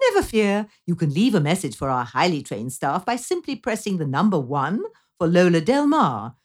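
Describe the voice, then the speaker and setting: A woman's voice: lowish voice, a little mellow. A woman playing an over-polished, chirpy salon answering-machine greeter in a comic audio drama, formal and wordy.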